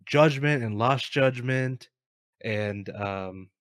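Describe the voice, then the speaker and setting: A man's voice: medium pitch, very slow, no room sound. Dry-recorded man chatting casually about games. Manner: informal, says bro, swears. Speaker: co-host of gaming news show. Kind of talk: casual opinionated chat.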